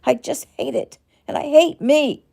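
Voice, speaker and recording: Brief: woman doing a raging patient, high and loud, gravelly edge, close to tears. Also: moderate pace. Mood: furious. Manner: shouting, near tears. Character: furious traumatized young woman patient.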